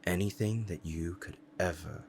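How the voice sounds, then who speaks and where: A man voicing a powerful, possessive and devoted demon in an intense, deliberate ASMR roleplay monologue. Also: deep voice, a little hiss or hum. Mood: possessive, commanding. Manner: intense, deliberate, dramatic pauses.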